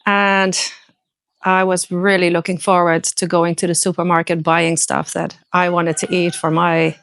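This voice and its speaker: A woman's voice: fairly low pitch, level tone, unhurried, fairly bright tone, clean tone. A woman, telling a casual story as an interview guest. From Netherlands. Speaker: interviewee, resort owner.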